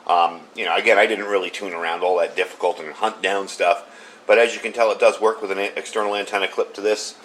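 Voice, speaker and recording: A man's voice: deep voice, steady tone, fast talker, fairly bright tone, a little hiss or hum. A man giving a casual product review in a conversational, unscripted, matter-of-fact way.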